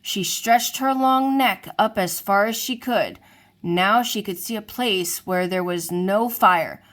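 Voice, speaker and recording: A woman's voice: mid-range voice, slow pace, a bit bright. Woman reading a children's story aloud. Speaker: storybook reader.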